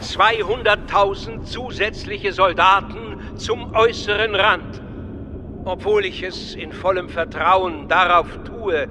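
An actor playing a solemn, grandiloquent statesman giving a drawn-out political speech in a radio drama. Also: high-pitched, fairly even intonation, noisy background. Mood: solemn oratory. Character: solemn male statesman addressing a senate, grandiloquent.

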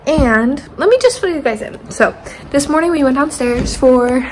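High-voiced teenage girl chatting to her vlog. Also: animated, normal speed, slight background noise. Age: teens, about 18. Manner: chatty, rambling, informal slang. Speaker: vlogger. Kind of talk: casual vlog chat. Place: hotel room, restaurant and street on a trip. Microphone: phone at arm's length.

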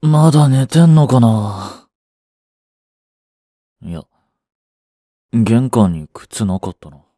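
Sulky young boyfriend played by a male actor: curt, skeptical, widely varied pitch, dry close sound, romance audio drama. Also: expressive, takes it slowly, pauses often, dark tone, no room sound. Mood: gloomy. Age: young adult. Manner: blunt, muttering, sighing.

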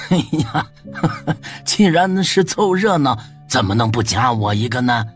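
A man's voice: mid-range voice, big pitch swings, unhurried. Guy doing an old lecherous noble, jolly, sighing, voice goes up and down a lot, audio drama, music behind. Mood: jovial.

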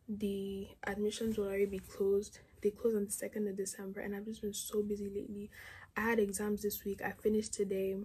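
A woman's voice: mid-range voice, darkish tone, slightly rough. A young woman in her teens chatting casually to her phone camera in her bedroom, rambling with lots of "like" as she talks through her plans. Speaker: vlogger. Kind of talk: casual vlog chat to camera. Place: bedroom at home, indoors. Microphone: phone camera at arm's length.

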